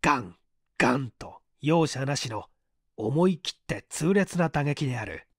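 Male audiobook reader for mystery fiction; mid-pitched, scripted narration, very little room sound. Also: animated, measured pace, slightly rough, no echo, studio quality.

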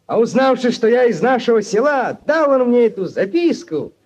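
An actor playing an eager, lovestruck young village lad in a 1940s radio play. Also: male voice, very high voice, sing-song, moderate pace, a little mellow, exaggerated voice. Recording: dull vintage 1940s radio recording.

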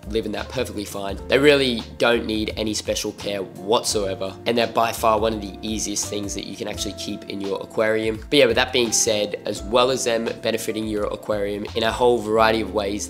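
A young Australian man hosting an aquarium channel, talking casually to camera in a room full of fish tanks, his voice a little off as he gets over being sick. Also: fairly low pitch. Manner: laid-back, filler-heavy ("like", "literally", "super"). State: recovering from illness, voice a bit off.

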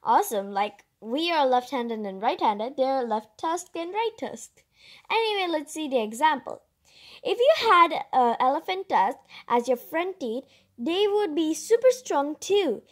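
A female host reading a children's picture book aloud, expressively, with chatty asides and drawn-out emphasis. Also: high-pitched, expressive.

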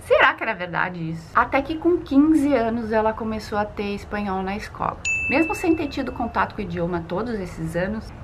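A woman's voice: a little high, a little fast, hardly any pauses, slight background noise. A woman in her forties with a southern Brazilian accent, talking expressively to the camera as a channel host, recorded outdoors in a garden. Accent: southern Brazilian Portuguese. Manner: chatty, expressive. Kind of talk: casual vlog narration.

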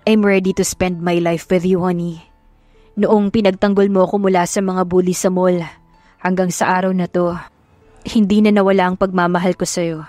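A woman's voice: fairly low pitch, level tone, normal speed, darkish tone, no echo, natural voice. Romantic male lead, Filipino, earnest sweet-talker, acted by a woman, audiobook with music bed. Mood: earnest, tender. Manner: earnest, sweet-talking, mixes English endearments. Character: devoted, tender young husband, romantic male lead. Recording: music behind the voice.

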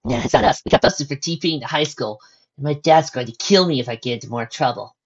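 Lady doing a casual slacker teen in a story, slangy, bit higher, muffled audio. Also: lively intonation, fast talker, gravelly, slightly rough, heavily acted. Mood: casual, wary. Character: casual teenage slacker on the phone, wary of trouble.

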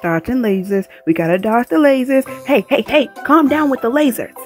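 A woman's voice: on the high side, normal speed, soft background music. A woman doing a comedic cartoon voice-over, acting out dialogue with sassy, colloquial, exaggerated delivery. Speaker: amateur voice-over performer dubbing a cartoon.

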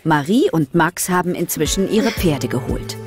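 A woman's voice: low voice, expressive, normal speed. A woman narrator reading a scripted children's story. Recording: music clearly under the narration.

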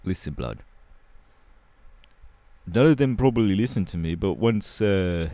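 Man playing a low-voiced punk rebel, close-up. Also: expressive, unhurried, mellow, no room sound. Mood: casual, dismissive. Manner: slangy, casual, drawling with hesitations. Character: laid-back rebellious young British punk superhero bassist, irritable. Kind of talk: ASMR audio roleplay.

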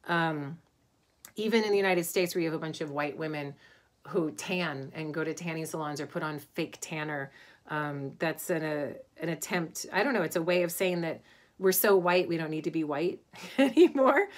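A middle-aged woman, an Egyptologist, lecturing informally to a webcam from her home study, thoughtful and pausing with many ums and restarts. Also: deep voice. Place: home study with bookshelves, indoors.

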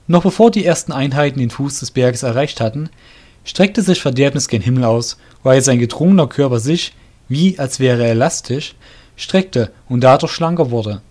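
A man narrating a scripted fantasy audio drama in a measured storybook manner. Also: mid-range voice, expressive, a little mellow.

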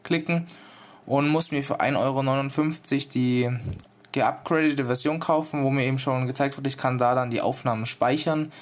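Man casually demonstrating an app. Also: medium pitch. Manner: informal, explanatory, step by step. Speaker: app reviewer, presenter.

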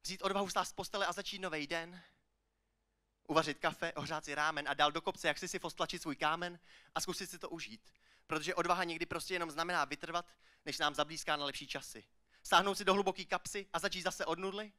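Male slam poet, high-pitched, sharp bright tone, emphatic rhythmic stage delivery, rhymed verse. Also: fairly even intonation. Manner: declamatory, emphatic, with rises and hushed line endings. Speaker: slam poetry performer. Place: on stage at a slam poetry final.

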